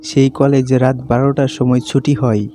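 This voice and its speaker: A man's voice: medium pitch, flat delivery, moderate pace, music in the background. A man narrating a scripted horror story for a cartoon.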